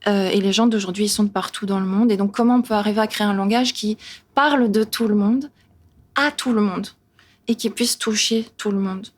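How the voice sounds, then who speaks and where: Woman choreographer on a panel, mid-pitched, eager and listing. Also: brisk. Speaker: choreographer and teacher. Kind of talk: panel talk.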